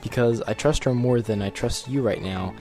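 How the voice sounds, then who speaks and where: A man's voice: medium pitch, moderate pace, over music, close to an everyday voice. A man playing a suspicious, commanding agent on the run in an audio drama, terse and interrogating. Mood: brisk, commanding.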